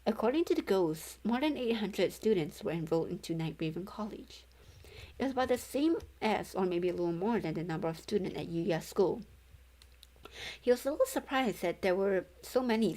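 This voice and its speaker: A woman narrating a novel as a read-along, haltingly, stumbling over words and correcting herself. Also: medium pitch, darkish tone, low-quality recording. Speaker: streamer reading a novel aloud.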